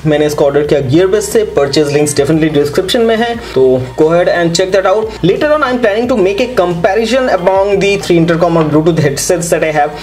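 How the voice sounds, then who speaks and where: A young adult man with an Indian English accent, excitedly unboxing a helmet intercom in a casual vlog, switching between English and Hindi. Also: sits high, racing, few pauses, roomy sound, soft background music. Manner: excited, mixing English and Hindi mid-sentence. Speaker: vlogger reviewing a helmet intercom.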